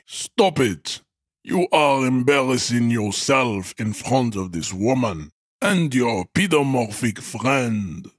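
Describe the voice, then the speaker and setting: Pompous, husky-voiced hulking strongman. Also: medium pitch, dry recording, studio quality. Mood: haughty contempt. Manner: pompous, contemptuous, deliberate enunciation with grandiose vocabulary. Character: huge, arrogant, pseudo-scientific supremacist strongman guarding a bridge. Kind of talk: video game character voice acting.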